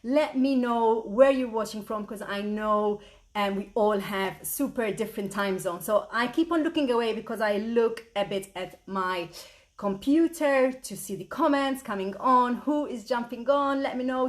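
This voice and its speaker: Middle-aged Maltese-born woman, business mentor, bright and eager live-stream delivery, slightly high voice. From Malta. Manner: upbeat, eager, repeats "super" a lot, greets viewers by name. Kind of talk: live-streamed talk to viewers. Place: at home at a computer.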